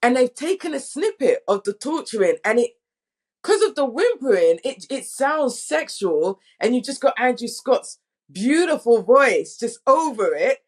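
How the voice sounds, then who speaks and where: A woman's voice: a little high, very animated, unhurried. A woman speaking informally and animatedly, telling anecdotes in a casual panel conversation as a director. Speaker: audio drama director.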